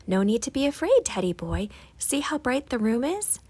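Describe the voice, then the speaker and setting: A woman voicing a timid little boy who is afraid of the dark, in a small, breathy, hesitant voice for a storybook. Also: on the high side, big pitch swings, moderate pace, voice pushed up. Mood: anxious bravado.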